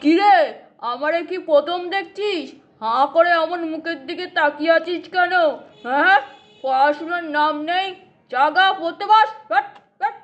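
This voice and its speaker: Cartoon grumpy old grandfather doll, played by a woman: high-pitched, bossy, always scolding and ordering people about. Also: moderate pace, fairly bright tone, dull audio. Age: older. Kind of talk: puppet doll cartoon acting.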